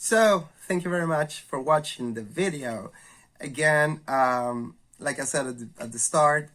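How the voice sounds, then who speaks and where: A man with a raspy, flu-hoarse voice hosts a candid, thoughtful educational monologue. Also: fairly high pitch, noisy background. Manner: thoughtful, candid, slow and searching. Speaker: video host.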